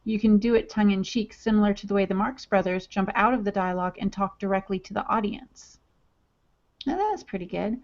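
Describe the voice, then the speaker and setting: A woman's voice: medium pitch, fairly quick, a little mellow. A woman co-hosting a writing podcast, reading listener chat comments aloud in an upbeat, chatty way. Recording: dull lo-fi audio.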